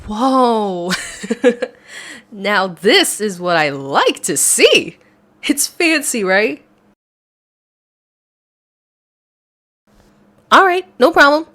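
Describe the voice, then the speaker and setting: A young woman acting out a playful, excitable best friend with a secret crush in an ASMR roleplay audio, speaking in a chatty, expressive way. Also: a little high, takes it slowly, heavily acted. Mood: excited.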